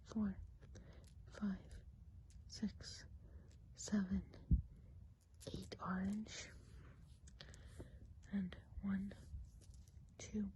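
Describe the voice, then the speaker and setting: A woman doing a hushed, whispered ASMR role play as a friendly woodland witch, explaining things in a gentle, meandering way. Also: mid-range voice, slight background noise, low-quality recording. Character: friendly Christmas witch of the woods. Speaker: ASMR performer.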